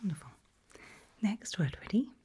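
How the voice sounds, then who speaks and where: A woman whispering a medical exam roleplay in ASMR style, breathy and hushed, slow, deliberate and gently coaxing. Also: mid-range voice, animated. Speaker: ASMR performer playing an examiner.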